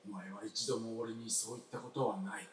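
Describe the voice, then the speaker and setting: An actor playing a regretful old husband in a live radio drama, speaking haltingly and with heavy emotion to his late wife. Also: lowish voice, steady tone, lightly acted. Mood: anguished regret. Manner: halting, heavy with emotion, falling to near whispers. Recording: roomy stage, distant mic, faint noise.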